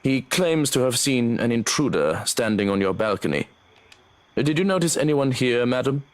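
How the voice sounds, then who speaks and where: A man voicing a composed, dutiful security man in a horror visual novel, speaking in a measured, formal way. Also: medium pitch, expressive, lightly acted. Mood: businesslike. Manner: measured, formal, matter-of-fact.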